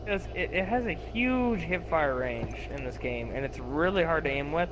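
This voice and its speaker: A man with a lisp chatting excitedly while gaming, cursing and yelping at what happens on screen. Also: a little high, normal speed, muffled recording, background noise. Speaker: gamer. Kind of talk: excited gameplay chat.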